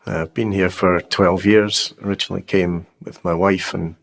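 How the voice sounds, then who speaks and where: A man in his fifties telling his life story in an interview, unhurried and halting, with plenty of fillers and restarts. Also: low-pitched, fairly even intonation, gravelly. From Scotland. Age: 50s. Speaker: guest sharing his testimony. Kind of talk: personal testimony interview.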